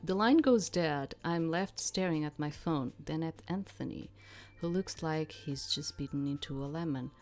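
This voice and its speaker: A woman with a low voice plays through a game. She reads its text aloud and comments casually in a rambling, hesitant way. Faint music plays underneath. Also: normal speed, hardly any pauses, darkish tone. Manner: rambling, hesitant, searching for words. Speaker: game streamer.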